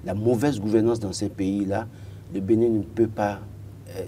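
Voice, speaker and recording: A man in his thirties speaks French with a Beninese accent. His voice sits low and sounds dark and mellow. He argues assertively in a TV panel debate and stumbles over repeated words as he pushes his point. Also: level tone, moderate pace, slight background noise. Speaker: political commentator on a panel.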